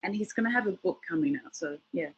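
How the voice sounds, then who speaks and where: A woman's voice: lowish voice, expressive, quick pace, breathy edge, highs cut off. A woman speaking as a guest on a video call, chatting casually with lots of fillers and the odd laugh. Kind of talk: casual online talk.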